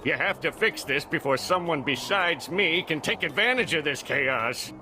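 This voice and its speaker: Sharp, forceful tiny cartoon villain. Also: male voice, on the high side, expressive, moderate pace, soft background music. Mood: bossy. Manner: pompous, ranting. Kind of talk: animated cartoon game acting.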